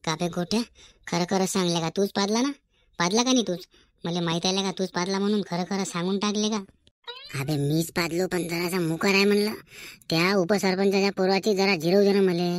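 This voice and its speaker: A man's voice: sits high, fairly even intonation, a little fast, lightly acted. Man doing a sly Varhadi village schoolboy. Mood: confiding. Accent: Varhadi Marathi. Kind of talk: cartoon comedy dialogue.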